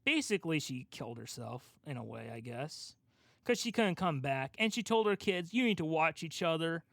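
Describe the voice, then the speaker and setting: A man's voice: a little high, sing-song, normal speed, gravelly, fairly bright tone, no room sound, studio quality. A man co-hosting a casual pop-culture chat, asking questions and giving his opinions in an informal way. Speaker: podcast co-host asking questions.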